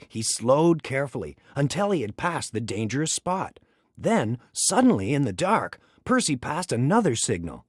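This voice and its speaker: Animated man reading a children's storybook. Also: medium pitch, slightly rough, no echo, clean studio sound. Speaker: storybook reader. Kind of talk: expressive children's read-aloud narration.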